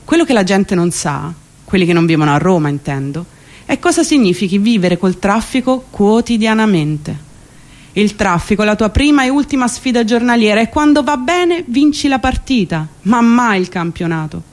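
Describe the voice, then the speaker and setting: Woman, comic stage monologue, wry, colloquial, emphatic delivery, medium-pitched voice. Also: expressive, normal speed. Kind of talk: theatrical comic monologue on radio.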